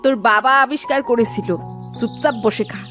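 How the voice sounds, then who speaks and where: A woman's voice: fairly high pitch, brisk, fairly bright tone, soft background music, natural voice. A woman voicing an exasperated, nagging village mother and wife in a moral-story cartoon, scolding and complaining. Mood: grumbling.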